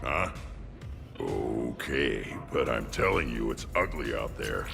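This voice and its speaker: Game role: hulking, blunt alien guard, very low pitch, terse, music behind him. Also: male voice, lively intonation, takes it slowly, long reverb tail, exaggerated voice. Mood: gruff, stubborn. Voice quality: gravelly. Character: gruff, blunt young alien brute guarding a door. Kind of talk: video game acting.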